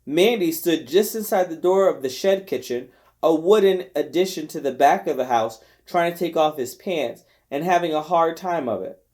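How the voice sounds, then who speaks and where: A man narrating a novel aloud in an expressive storytelling style with deliberate phrasing. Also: on the high side. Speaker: volunteer reader of a novel. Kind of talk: scripted audiobook narration.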